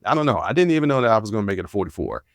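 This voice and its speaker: A man's voice: fairly low pitch, big pitch swings, rapid-fire, no echo. A man in his forties hosting a podcast, talking in a candid, rambling, laid-back way with plenty of fillers and restarts. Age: 40s. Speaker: podcast host. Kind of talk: casual solo monologue. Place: Washington, D.C.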